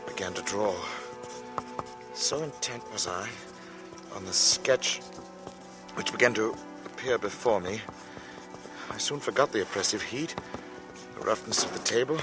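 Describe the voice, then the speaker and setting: An actor in a 1940s radio drama playing an uneasy thirty-five-year-old artist, telling his strange story slowly and deliberately. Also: mid-range voice, lightly acted. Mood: agitated, intense. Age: 30s. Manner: slow, halting, deliberate, dramatic. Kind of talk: 1940s radio drama acting, first-person narration. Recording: vintage radio broadcast with music. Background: dramatic music.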